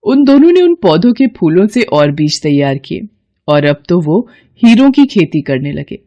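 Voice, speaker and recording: A woman giving expressive scripted narration of a children's moral story. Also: lowish voice, normal speed, highs cut off.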